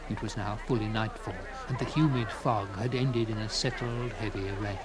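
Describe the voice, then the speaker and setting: A man narrating a gothic story in the first person, with theatrical, deliberate phrasing and dramatic pauses. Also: lowish voice, steady tone, noisy background.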